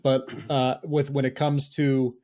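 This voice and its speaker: A man's voice: mid-range voice, little pitch movement, a little slow, mellow. A man with a mid-range voice speaks as a podcast host. He lays out his opinions on films in a casual, conversational style. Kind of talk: casual film discussion.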